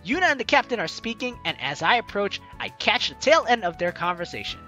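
Bright, animated gaming commentator. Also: medium pitch, brisk. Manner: animated, exclaiming, giggly. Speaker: gaming video host. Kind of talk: let's play commentary, reading visual novel lines aloud. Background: game music.

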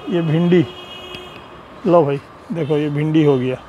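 A man's voice: a little high, steady tone, unhurried, lo-fi sound, slight background noise. A man giving a chatty, casual tour of his rooftop terrace garden, filmed on a handheld phone outdoors. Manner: chatty, pointing things out. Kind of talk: casual walkthrough narration. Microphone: handheld phone camera.

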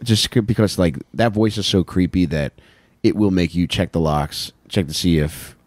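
A man's voice: low voice, normal speed, darkish tone, no room sound. An American man in his thirties telling stories casually as a comedy podcast co-host, with slangy, sweary talk into a handheld mic. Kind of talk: casual comic storytelling. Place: small wood-panelled podcast room, indoors. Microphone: handheld microphone held close.

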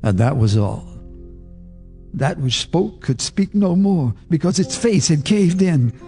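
A man narrating in the first person for a radio drama, measured and grave. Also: fairly high pitch, expressive, warm tone. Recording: radio drama with faint music underneath.

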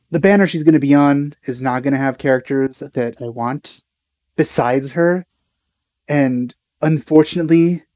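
A man's voice: mid-range voice, a little mellow, no room sound. A man in his thirties giving casual, enthusiastic reaction commentary as a video host, close on the mic. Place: small indoor room.

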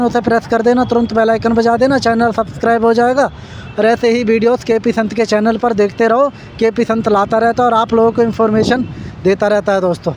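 A high-pitched man narrates a walking vlog by a busy road. His delivery stays level and monotone as he keeps pointing things out to his viewers. It sounds like a handheld phone recording outdoors. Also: a little hiss or hum. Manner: repetitive, addresses viewers as friends, points things out. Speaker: vlogger. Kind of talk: casual walking construction-site vlog. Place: outdoors beside a busy road and flyover construction.

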